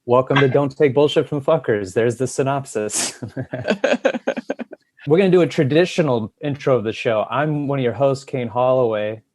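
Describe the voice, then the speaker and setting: A man's voice: mid-range voice, moderate pace, darkish tone, dry recording. A man hosting a podcast, introducing the show and guest in a self-mocking, joking way. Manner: self-deprecating, jokey. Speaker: podcast host. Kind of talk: casual podcast chat.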